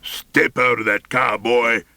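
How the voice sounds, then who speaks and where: A man voicing a gruff old backwoods grandfather who booms and barks orders in an audiobook story. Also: flat delivery, slow, bright, forceful tone, dry recording, exaggerated voice. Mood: commanding, angry. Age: older. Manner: barking, roaring orders.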